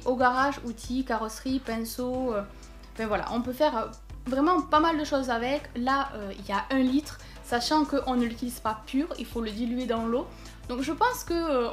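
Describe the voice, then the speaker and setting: A woman chatting casually while unboxing a parcel at home, thinking aloud with many fillers. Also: sits high. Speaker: vlogger unboxing a package.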